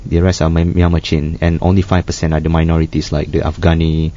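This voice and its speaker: A man speaking as a humanitarian worker guest in a panel discussion, halting and full of restarts. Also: rumbling low, level tone, warm tone. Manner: halting, many restarts and fillers. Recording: dull lo-fi studio sound.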